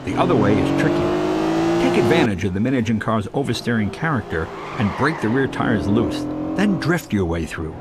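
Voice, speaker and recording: A man giving scripted, instructional narration as a driving tutor, clearly enunciated, with racing car noise behind him. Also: lowish voice, big pitch swings, normal speed. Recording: loud race car engine noise. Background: racing game car sounds.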